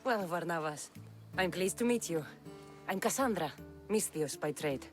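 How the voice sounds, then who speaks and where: An actress playing a confident mercenary warrior in a video game, speaking in a dry, teasing, matter-of-fact way. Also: female voice, lowish voice, expressive, normal speed, soft background music, lightly acted. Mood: curious. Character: confident woman mercenary warrior, wry and blunt. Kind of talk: video game cutscene acting.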